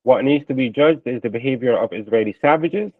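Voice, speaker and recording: Palestinian guy being interviewed, explains earnestly w lots of ums, thin narrow call sound, no room echo. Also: mid-range voice. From Palestine or its diaspora. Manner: earnest and explanatory, hesitant with frequent filler pauses. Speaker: interview guest. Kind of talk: conversational live panel interview.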